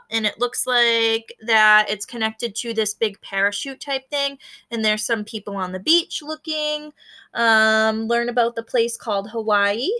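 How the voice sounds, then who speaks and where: A woman teacher reading a book aloud to fourth graders, pausing to explain and prompting them to notice and wonder. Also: on the high side, sharp tone. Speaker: elementary school teacher. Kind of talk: read-aloud lesson for fourth graders, explaining as she goes.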